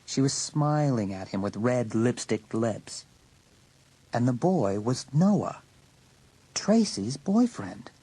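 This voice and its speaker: A man's voice: medium pitch, big pitch swings, takes it slowly, dark tone, breathy edge, rough recording. A man reading scripted narration for a dramatised audiobook.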